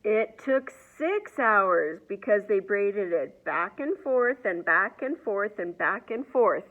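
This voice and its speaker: A woman reading a children's picture book aloud in an expressive storyteller's manner. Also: medium pitch, takes it slowly, narrow, tinny audio. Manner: expressive, asks the young listeners questions.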